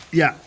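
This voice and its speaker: A man with a Swedish accent, a non-native English speaker, walking through an engine repair in a halting, think-aloud way. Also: bright, forceful tone, slight background noise. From Sweden. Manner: slow, halting, thinking aloud while working. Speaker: DIY mechanic. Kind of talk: hands-on repair tutorial.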